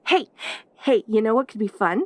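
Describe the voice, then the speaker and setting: A woman's voice: fairly high pitch, lively intonation, moderate pace, no room sound, natural voice. A woman voicing a perky, lonely caged demon girl in an intimate audio roleplay, with an eager, rambling, stammering manner. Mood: coaxing, hopeful. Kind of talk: audio roleplay acting, addressing the listener intimately.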